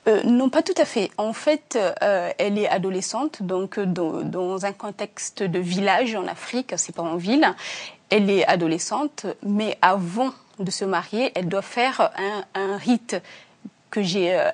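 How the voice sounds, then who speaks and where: A woman from Africa, an author being interviewed, answering earnestly with many pauses and restarts. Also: mid-range voice, a little mellow. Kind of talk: hesitant conversational interview answers.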